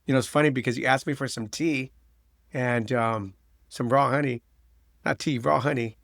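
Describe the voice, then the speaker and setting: A man's voice: medium pitch, steady tone, moderate pace, gravelly, fairly bright tone, no echo, studio quality. A man hosting a podcast with his daughter, chatting loosely and joking with many fillers. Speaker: podcast host. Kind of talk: casual chat.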